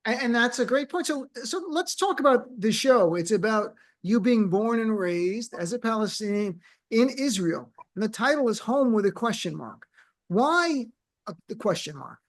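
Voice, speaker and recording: A man's voice: high-pitched, sing-song, moderate pace. A man hosting a radio interview, chatting with his guest, asking questions and giving show details. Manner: conversational, asks questions and plugs the show. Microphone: studio microphone.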